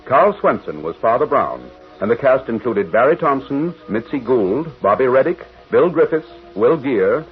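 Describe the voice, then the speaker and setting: A tinny old broadcast with faint music behind it carries an American male announcer. He reads scripted copy in 1940s radio fashion, with animated, swinging intonation. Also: medium pitch.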